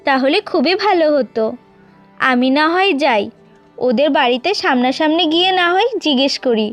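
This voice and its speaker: Sweet high-voiced young village wife, acted by a woman. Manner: gentle, earnest. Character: sweet, contented young village wife, devoted older sister. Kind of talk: scripted cartoon dubbing.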